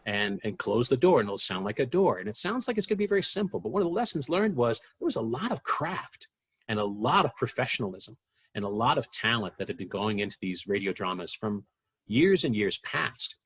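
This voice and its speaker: A man giving a reflective documentary interview, speaking earnestly and deliberately and repeating words for emphasis. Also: mid-range voice, very animated, a bit bright, slightly rough.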